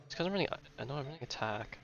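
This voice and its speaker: A man's voice: mid-range voice, animated, very fast, vocal fry, fairly bright tone. A man streaming a video game, thinking aloud in casual commentary. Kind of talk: casual live gameplay commentary. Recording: slightly dull audio, game music behind.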